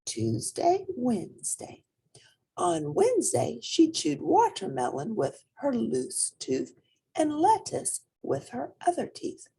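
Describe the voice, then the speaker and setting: A woman's voice: sits high, sing-song, takes it slowly, gravelly, warm tone. A woman reading a children's storybook aloud expressively, speaking directly to young listeners. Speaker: storybook reader.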